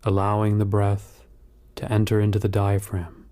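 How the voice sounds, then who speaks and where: A man guiding a meditation in a calm, measured, soothing manner, with long pauses between instructions. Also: on the low side. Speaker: meditation guide. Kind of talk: guided meditation narration.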